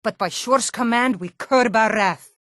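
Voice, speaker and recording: A woman's voice: on the high side, a little slow, a bit bright, no echo, clean studio sound. A woman playing a proud, hearty Nord warrior in a fantasy game, declaiming solemnly in lofty, archaic, bardic phrases.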